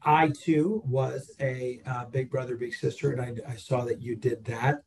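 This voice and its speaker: A man's voice: mid-range voice, steady tone, unhurried, gravelly, darkish tone, echoey room. A man hosting a podcast, asking questions in a casual, hesitant way with many fillers. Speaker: podcast host. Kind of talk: casual interview chat.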